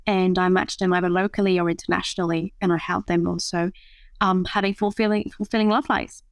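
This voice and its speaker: A woman's voice: on the low side, level tone, a little fast, clean tone, no room sound, studio quality. A woman in her thirties, a matchmaker guest in a podcast interview, who talks thoughtfully with frequent fillers and restarts. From France. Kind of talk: interview conversation.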